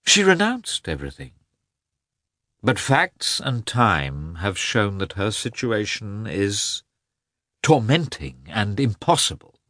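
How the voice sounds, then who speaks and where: Man acting a high, pleading, excitable gentleman. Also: takes it slowly, dry recording, clean studio sound, exaggerated voice. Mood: persuasive, insistent. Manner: emphatic, imploring, effusive. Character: earnest, pleading, excitable gentleman begging for pity. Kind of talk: audiobook character voice.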